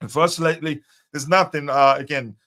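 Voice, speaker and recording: A man's voice: fairly high pitch, gravelly, pushed and bright. A man hosting a live fan show, chatting casually with many fillers and greeting viewers by name. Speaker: host of a live fan stream. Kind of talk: casual live-stream chat.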